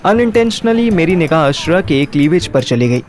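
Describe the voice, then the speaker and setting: A man's voice: a little high, sing-song, moderate pace, soft background music. A man narrating a first-person story and acting its dialogue in colloquial Hindi mixed with English. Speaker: narrator and lead character of an audio story.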